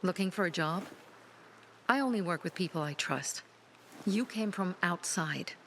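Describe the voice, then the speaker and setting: A woman's voice: fairly low pitch, lively intonation, a little slow, a bit bright, slight background noise. An actress playing a firm, wary young woman in charge of a settlement's safety in a video game. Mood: wary, cool. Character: guarded, authoritative young female safety chief. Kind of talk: naturalistic video game acting.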